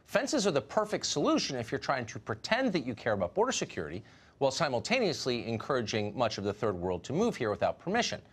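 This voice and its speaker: Sardonic male news host, lively pitch. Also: fast talker, husky. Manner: mocking, sardonic delivery. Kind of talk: sarcastic scripted monologue. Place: television news studio.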